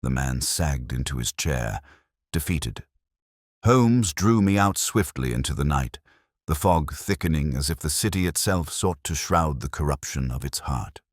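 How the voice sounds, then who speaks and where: A man's voice: rumbling low, animated, unhurried, dry recording, clean studio sound. A man reading a scripted detective story as a first-person narrator. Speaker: audiobook narrator.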